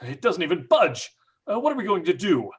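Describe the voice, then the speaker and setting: A man plays an anguished father in an old-time radio horror drama. His high, husky voice swings widely as he stammers through agitated, guilt-ridden pleas. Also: very animated, brisk, exaggerated voice. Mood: frantic. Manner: agitated, stammering, breathy exclamations. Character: desperate, guilt-stricken father searching for his abducted daughter.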